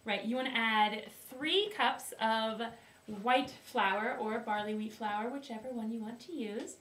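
A woman's voice: a little high, measured pace, fairly bright tone. A young woman hosting a homemade cooking video, presenting in a playful mock-formal way and giggling often, heard from a distant camera in a small wooden kitchen. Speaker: host of a home cooking video. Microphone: far camera. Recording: roomy, distant, faint hiss.

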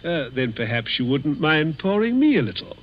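Sly, muffled old-radio villain. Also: male voice, on the high side, very animated. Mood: smoothly menacing. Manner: suave, chuckling, mock-affectionate, halting. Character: sly, gloating older stepfather plotting murder, genteel menace. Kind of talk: old-time radio thriller acting. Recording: muffled vintage radio recording.

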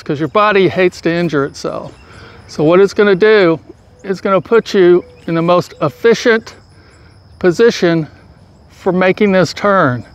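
High-voiced man giving calm golf instruction. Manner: calm, patient step-by-step instruction. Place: outdoors on a grassy field. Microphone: far camera, full-body framing. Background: crickets and insects outdoors.

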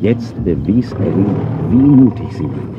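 A man narrating a children's audio play as a dramatic, animated storyteller. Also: low-pitched, a little slow, noisy background. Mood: suspenseful. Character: animated male storyteller of a children's dinosaur tale. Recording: dull cassette-era audio play recording.

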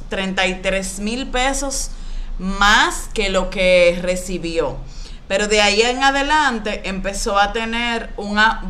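A woman in her thirties explaining personal finance to camera as a coach, in a didactic, deliberate way. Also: mid-range voice, pushed and bright. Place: small indoor room. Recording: some background room noise.

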